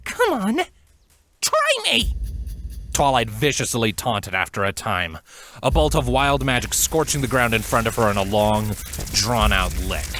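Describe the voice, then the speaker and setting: A man's voice: lowish voice, moderate pace, a bit bright, slight background noise. A man reading a fantasy story aloud as a dramatic scripted narration. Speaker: fan fiction narrator.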